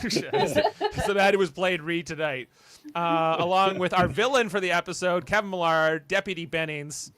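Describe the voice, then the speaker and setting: A man's voice: high-pitched, animated, unhurried, a bit bright, no echo. A man hosting a podcast in casual chat, rambling and hesitant, with a joking way of talking. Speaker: podcast host.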